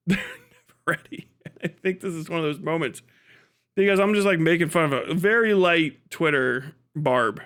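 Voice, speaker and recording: A man with a high, clear voice, streaming casually to his chat. His delivery is bright and forceful, with a mocking, animated manner and plenty of swearing. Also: moderate pace. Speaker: live stream host. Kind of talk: casual livestream chat to viewers.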